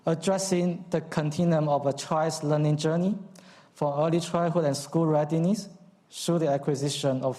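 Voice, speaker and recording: A man with a Cantonese accent giving a formal speech at a conference, measured, with frequent ums and uhs. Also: fairly high pitch, dark tone. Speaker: conference speaker. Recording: long hall reverb.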